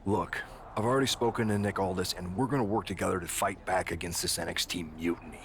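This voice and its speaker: Deep, earnest wrestling boss. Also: male voice, steady tone, fast, slight background noise. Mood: resolute, rallying. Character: earnest, decisive male wrestling show boss rallying allies. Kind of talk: scripted video game cut-scene dialogue.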